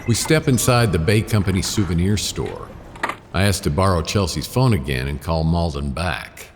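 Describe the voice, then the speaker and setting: A man's voice: deep voice, expressive, moderate pace, big-room sound, background noise. An actor playing a wry, hard-boiled private investigator who narrates his case in a laconic noir radio drama style. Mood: wry, watchful.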